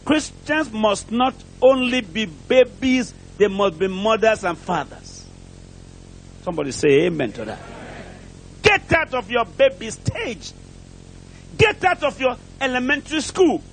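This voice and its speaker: A male preacher with a strikingly high-pitched voice delivers an impassioned sermon. He declaims in short, repeated phrases and puts rhetorical questions to his listeners. There is faint noise and rumble behind him. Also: very animated, unhurried, rough recording. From outside England, preaches there as a visitor. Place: church pulpit, preaching to a congregation.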